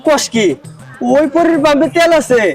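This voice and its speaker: A man's voice: very high-pitched, moderate pace, faint music behind. A man acting in a comic short film as a grumbling, incredulous sidekick friend with a Bangladeshi accent.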